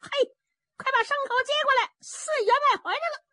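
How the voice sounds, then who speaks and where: Casting: excitable male underling in his thirties, acted by a woman, shrill high pitch, garrulous whine, swooping delivery, storytelling. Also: normal speed, dry recording, studio quality, exaggerated voice. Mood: agitated. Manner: rambling, garrulous, whining. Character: chattering, excitable man over thirty, hapless bandaged underling. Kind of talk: traditional Chinese storytelling.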